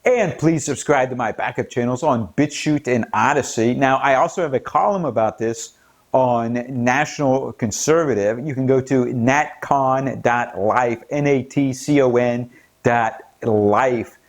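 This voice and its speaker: Slow-talking American man, indignant. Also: medium pitch. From United States. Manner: earnest, indignant, rising emphasis at key points. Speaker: political commentary channel host. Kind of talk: scripted-sounding solo commentary.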